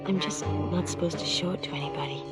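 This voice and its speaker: An actress playing a crime boss's wistful, wary mistress in a seventies radio drama, confiding in hushed tones that often slip into whispers. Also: female voice, lowish voice, fairly even intonation, fast, loud music behind the voice, lightly acted. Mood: matter-of-fact, confiding. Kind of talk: 1970s radio drama acting, intimate confessional monologue and dialogue.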